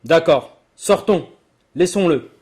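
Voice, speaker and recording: A man playing an anxious, imperious rich lord and father, quick to anger, in a dramatic animated religious story. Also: on the high side, husky, heavily acted. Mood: anxious, tense. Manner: commanding, dramatic, accusing. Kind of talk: French-language animated religious story dub.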